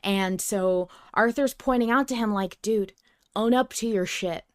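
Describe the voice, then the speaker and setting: A woman's voice: medium pitch, measured pace, no echo, studio quality. A woman co-hosting a podcast, talking casually and emphatically and swearing freely as she discusses a story. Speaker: podcast co-host. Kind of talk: casual fan discussion chat.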